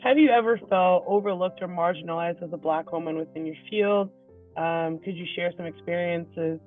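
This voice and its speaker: A woman interviewer asking questions gently. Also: fairly low pitch, normal speed, soft background music. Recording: tinny phone-like sound.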